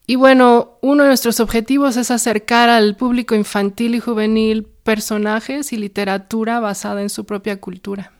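A woman's voice: a little high, steady tone, normal speed, few pauses. A middle-aged woman with a Mexican accent talks reflectively and conversationally about herself, as in an interview. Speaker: independent publisher and illustrator. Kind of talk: personal interview narration.

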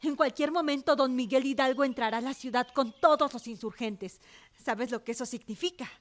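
An actress as a young Mexican lady devoted to revolution in a radio drama. Her voice is high and clearly feminine. She speaks with resolute, passionate emphasis. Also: brisk, close to an everyday voice. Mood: resolute, urgent. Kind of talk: Spanish-language radio drama acting.

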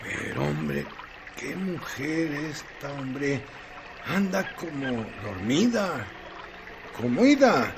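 Radio play: exasperated Mexican working man, muttering to himself, very varied pitch, noisy track. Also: full character voice. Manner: grumbling, colloquial muttering. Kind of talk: radio drama acting.

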